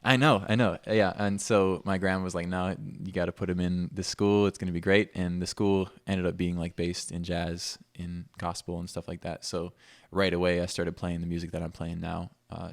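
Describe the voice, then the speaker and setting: A man in his twenties from Minnesota, chatting casually in an interview, relaxed and thoughtful with frequent fillers. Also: low-pitched, moderate pace, gravelly, hardly any pauses, dry recording. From Minnesota, USA. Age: 20s. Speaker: pianist guest.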